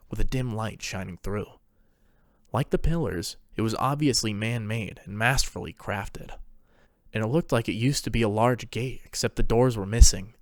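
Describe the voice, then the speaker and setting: A man's voice: mid-range voice, darkish tone, no room sound, clean studio sound. A man reading scripted story narration as the narrator of a fan fiction tale.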